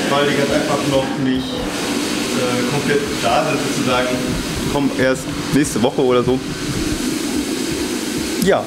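A man's voice: a little high, very noisy. Chatty man in an echoey kitchen. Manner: cheerful, chatty, laughs often. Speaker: restaurant owner filming a kitchen vlog. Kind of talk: casual vlog chat. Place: tiled restaurant kitchen, indoors. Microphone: handheld camera mic. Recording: echoey kitchen with loud clatter.

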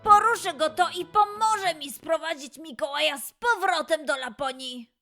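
Woman as a high-voiced, eager little lion cub. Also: moderate pace, faint music behind. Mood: pleading, determined. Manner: earnest, pleading, high and bright-eyed. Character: eager, caring young lion cub, storybook hero.

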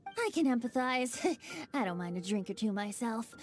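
A man's voice: very high voice, very animated, normal speed. A performer voicing a playful, carefree young male bard in a video game. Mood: wry. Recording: game audio with soft music.

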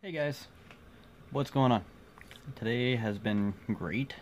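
A man in his forties chatting casually to viewers in a halting, rambling vlog, recorded on a phone indoors. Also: medium pitch. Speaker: vlogger. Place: small cluttered room indoors. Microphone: phone at arm's length. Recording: noisy phone recording, clear background noise.

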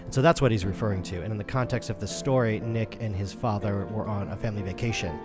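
A low-voiced man hosts a podcast about books. He talks in a conversational, discussing style over faint background music. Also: quick pace. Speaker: podcast host.

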